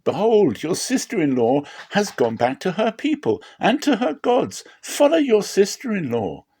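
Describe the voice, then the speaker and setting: Man playing a high-voiced grieving old widow. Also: wildly swooping pitch, measured pace, warm tone, slightly rough, heavily acted. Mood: urging. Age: older. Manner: plaintive, emphatic. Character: grieving, bitter old widow Naomi, pleading with her daughters-in-law.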